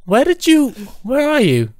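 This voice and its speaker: A man chatting casually and excitably while playing a co-op game, joking and exclaiming as he goes. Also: very high-pitched, a little slow, no room sound, clean studio sound. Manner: jokey, exclaiming. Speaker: gamer playing a co-op roleplay map. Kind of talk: excitable casual gameplay chat.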